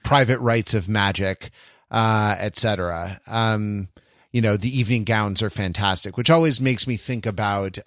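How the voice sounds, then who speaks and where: A man with a lowish voice talks casually as a podcast host. The sound is dry, with little room on it. He thinks aloud about literature, stopping, restarting and doubling up on words. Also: fairly bright tone, no room sound. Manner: halting, self-interrupting, repeats words.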